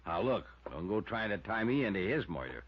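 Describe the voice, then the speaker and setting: An old, dull radio recording of a surly American bartender. His deep voice moves around a lot in pitch, and he talks in curt, defensive, unschooled phrases. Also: big pitch swings, brisk, husky, full character voice. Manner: curt, uneducated grammar. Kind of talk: 1950s radio drama acting.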